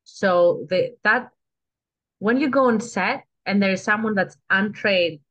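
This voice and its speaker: A Greek-accented woman talks easily as a podcast guest, circling back and restarting her thoughts and checking in with "you know?" Her voice sits at an ordinary middle pitch. The recording sounds a little dull and lo-fi. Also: unhurried, fairly bright tone. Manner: chatty, restarts sentences, asks "you know?" often. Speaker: guest actress. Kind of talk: casual podcast chat.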